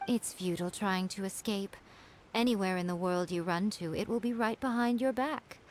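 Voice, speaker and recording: A woman playing a sly, imperious shrine priestess in a video game, with a measured, condescending, coolly menacing delivery. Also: mid-range voice, slight background noise, natural voice. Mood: cool menace.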